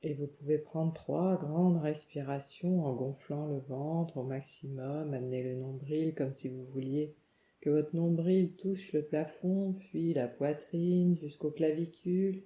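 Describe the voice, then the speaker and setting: A woman leads a guided relaxation meditation, calm and soothing, giving gentle instructions in short phrases with pauses. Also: low voice. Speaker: yoga nidra meditation guide. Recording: muffled, echoey room sound.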